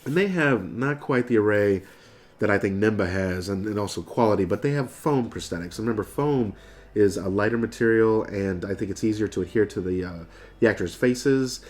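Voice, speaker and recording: A man's voice: lowish voice, moderate pace, a little mellow. An American man in his thirties, nasal from getting over a cold, casually explaining things to camera as a video host. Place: furnished room indoors.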